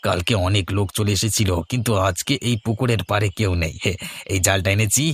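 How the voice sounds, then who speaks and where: A man voicing a sly, grumpy village fisherman in a cartoon, gruff and cagey. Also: fairly low pitch, a little fast, natural voice. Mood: gloating, scheming. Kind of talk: cartoon voice acting.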